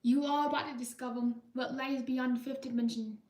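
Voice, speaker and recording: A woman voicing an ominous announcer for a spooky ride, with a slow, solemn recitation. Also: high-pitched, fairly even intonation, darkish tone, natural voice. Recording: roomy echo, mic far off.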